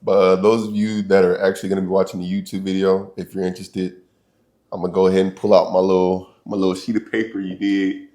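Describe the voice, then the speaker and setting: A man's voice: deep voice, level tone, quick pace, mellow. A young college-age man hosting a casual podcast, telling stories in laid-back slang and laughing often. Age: young adult. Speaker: podcast host. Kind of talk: casual chat.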